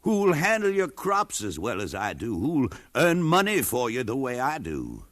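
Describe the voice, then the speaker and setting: A man's voice: medium pitch, wildly swooping pitch, a little slow, fairly bright tone. An actor playing a shrewd, deferential enslaved man reasoning persuasively in a 1950s radio drama. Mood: wounded, persuasive. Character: shrewd, placating enslaved man, secretly defiant.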